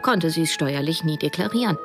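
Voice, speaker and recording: A woman's voice: deep voice, animated, a little fast, over music. An actress playing a young woman who narrates a radio drama, probing and direct with a wry edge. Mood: reflective narration. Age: young adult. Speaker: first-person narrator.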